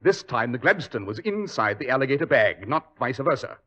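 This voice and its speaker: An actor playing a cool, sardonic English master detective who lectures crisply with dry wit, in 1940s radio acting. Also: male voice, mid-range voice, big pitch swings, a little fast, sharp tone. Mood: wry. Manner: crisp, lecturing, dryly witty. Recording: dull lo-fi 1940s radio recording.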